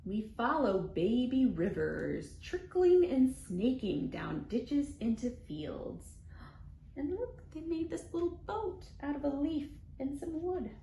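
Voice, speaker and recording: A woman reading a picture book aloud to young children as a storytime host, in an animated, sing-song way with deliberate phrasing and playful sound words. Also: high voice, vocal fry. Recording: roomy echo, faint background noise.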